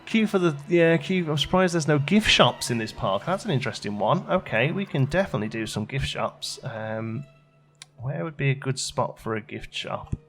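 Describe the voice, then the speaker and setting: A man's voice: medium pitch, animated, normal speed, a bit bright. A man giving casual let's play commentary, chatty and thinking aloud as he plays. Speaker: gaming channel host.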